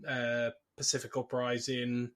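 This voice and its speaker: A man's voice: mid-range voice, monotone, measured pace, fairly bright tone. Man hosting a casual podcast, wryly informal. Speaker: podcast host. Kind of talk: casual film discussion and plot recap.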